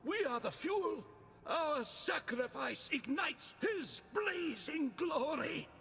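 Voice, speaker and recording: An actor playing a fanatical male cultist guard who shouts and laughs wildly, heard in a long reverberant space. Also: very high voice, animated, slow, vocal fry, background noise, heavily acted. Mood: fanatical raving. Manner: wild shouting, manic laughter.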